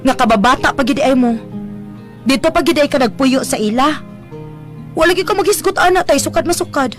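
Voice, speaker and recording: An actress playing a nosy, playful young adult daughter in a radio drama, with a Visayan Philippine accent and a prodding, giggly manner. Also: female voice, sits high, a little fast, music in the background, lightly acted. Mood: curious, prodding.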